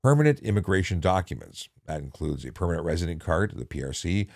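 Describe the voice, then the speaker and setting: A man reading instructional material in a measured, deliberate way, with casual off-the-cuff asides. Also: deep voice, very animated, gravelly, a little mellow, no echo, clean studio sound. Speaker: narrator reading a handbook with commentary.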